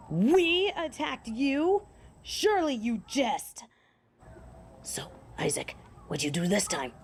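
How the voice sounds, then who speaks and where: A woman's voice: fairly high pitch, heavily acted. A woman playing a proud, sardonic female werewolf alpha in a theatrical fantasy audio drama. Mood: wary, challenging. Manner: haughty, theatrical, deliberate. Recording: clear background noise and ambience.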